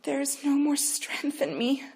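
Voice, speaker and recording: A woman voicing a weak, dying young woman in labor, with a faint, breathy plea. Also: a little high, normal speed, pitched above the performer's own voice.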